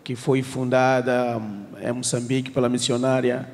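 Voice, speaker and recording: A man from Mozambique, introducing himself in a measured, formal way. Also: medium pitch, level tone. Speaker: missionary student. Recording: echoey hall sound.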